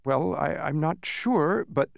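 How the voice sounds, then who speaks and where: A man's voice: a little high, sing-song, normal speed, gravelly, a little mellow, no room sound, clean studio sound, voice pushed up. A man voicing a polite, puzzled young boy in a storybook, hesitant and questioning. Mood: unsure, questioning.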